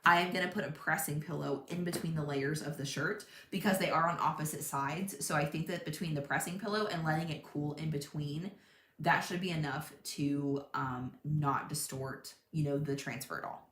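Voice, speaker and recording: A young woman hosting a live crafting stream from her home craft room, chatting casually, thinking aloud, laughing often and reading out viewer comments in a loud voice. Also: low-pitched, moderate pace, roomy sound. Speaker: crafting livestream host. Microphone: tablet mic across the room.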